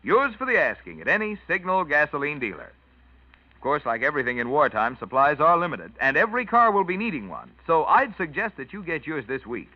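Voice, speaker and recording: A man announcing a scripted 1940s radio commercial in a folksy sales-pitch manner. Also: very animated, fairly quick, dry recording. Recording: dull dry vintage radio recording.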